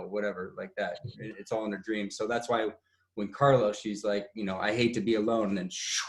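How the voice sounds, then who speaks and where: Man, low register, loose rambling director type, echoey call audio. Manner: rambling, loose explanations with many restarts. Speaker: writer-director. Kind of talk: casual video-call meeting talk.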